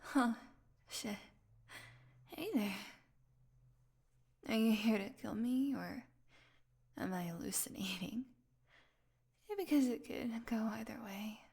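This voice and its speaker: A starving vampire woman held captive, acted out by a woman in an intimate audio drama. Her fairly high voice moves slowly and haltingly, often thinning into a breathy whisper. She is sardonic and proud, with desperation underneath. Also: a little mellow, exaggerated voice. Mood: weak, delirious, sardonic.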